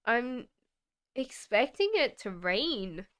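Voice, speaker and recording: A woman with an Australian accent blended with British tones gives a chatty live-stream tarot reading. Her voice is very smooth and a little high, and she takes her time. She reads viewer comments aloud and laughs easily. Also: big pitch swings, takes it slowly. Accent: Australian mixed with British. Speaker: tarot reader. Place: home in the UK.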